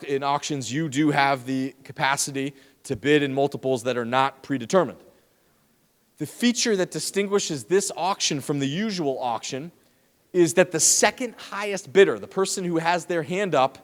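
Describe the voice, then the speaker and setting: A man giving a lively, teasing lecture to students in a large hall, speaking into a handheld microphone. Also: mid-range voice. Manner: lively, teasing, talks straight to the audience. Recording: long hall reverb.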